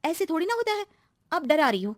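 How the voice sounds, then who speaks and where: A woman voicing an excitable, chatty young married daughter-in-law who is easily aggrieved, in a storybook style. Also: very high voice, a little fast, no echo, studio quality, pitched above the performer's own voice.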